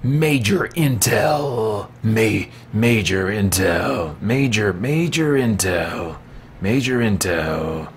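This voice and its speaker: A man's voice: on the low side, lively intonation, slow pace, slight background noise. A man doing casual gameplay commentary as a streamer, with a goofy, repetitive way of talking to himself. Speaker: video game livestreamer.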